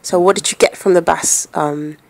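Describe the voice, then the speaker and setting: A woman with a fairly low-pitched voice works as a radio interviewer. She puts her questions conversationally, in long, rambling phrases. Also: fairly even intonation, moderate pace, gravelly, a little mellow.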